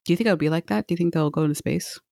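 A woman's voice: fairly low pitch, rapid-fire, creaky, darkish tone, no room sound, studio quality. A woman co-hosting a podcast in casual chat, rambling in a playful way and asking lots of questions. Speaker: podcast co-host. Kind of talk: casual chat about movie trailers.

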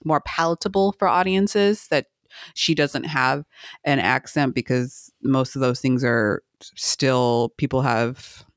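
Woman chatting casually in a clear, smooth voice. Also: very deep voice, fairly even intonation, measured pace, no echo, clean studio sound. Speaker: podcast co-host. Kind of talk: casual chat.

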